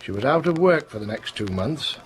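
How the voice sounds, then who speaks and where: A man's voice: medium pitch, expressive, natural voice, poor audio. Man playing a grave, unyielding police inspector. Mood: solemn, pointed. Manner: deliberate, blunt, grave. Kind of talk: radio drama acting. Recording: music under the voice.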